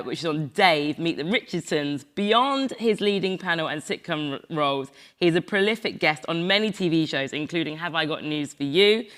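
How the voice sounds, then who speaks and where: A woman's voice: lowish voice, fairly bright tone. A woman hosting a panel discussion, introducing guests in a chatty way and laughing often. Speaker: panel host. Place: event venue in Glasgow.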